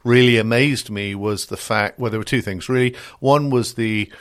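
A middle-aged man with a British accent answering interview questions as a guest expert, with hesitant, pause-filled phrasing. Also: medium pitch, fairly bright tone. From Britain. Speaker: podcast guest, security strategist.

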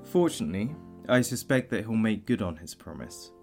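An actor playing a calm, courteous, wise bartender in an audio drama, speaking in a measured, formal way with a gravelly voice. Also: male voice, fairly low pitch, soft background music. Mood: wry, reflective.

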